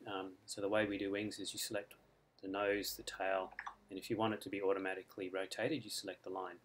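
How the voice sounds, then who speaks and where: An Australian man explaining a computer design program step by step in a relaxed tutorial, with frequent ums. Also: sits low, a little fast, a little hiss or hum. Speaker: software author demonstrating his add-in. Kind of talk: screen-recorded software tutorial. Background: mouse clicks and keyboard typing.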